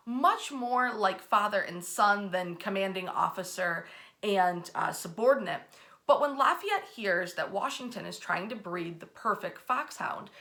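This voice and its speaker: Bright, forceful woman telling history stories. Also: mid-range voice, creaky. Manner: dramatic emphasis with wry, colloquial asides. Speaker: host and storyteller of a history series. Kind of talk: animated, informal history storytelling.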